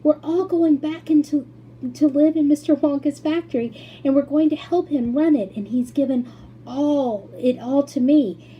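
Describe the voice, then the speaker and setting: A woman voicing an excitable, kind-hearted young boy in a storybook, with an eager, exclamatory manner. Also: high voice, normal speed, lo-fi sound, a little hiss or hum, voice pushed up, natural voice. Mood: excited.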